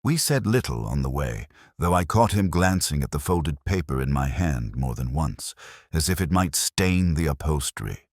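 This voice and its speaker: A man playing a brooding, erudite detective inspector, narrating his case in a measured, literary and wry manner. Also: extremely low pitch, very animated, no room sound, clean studio sound, exaggerated voice. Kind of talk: scripted first-person mystery narration.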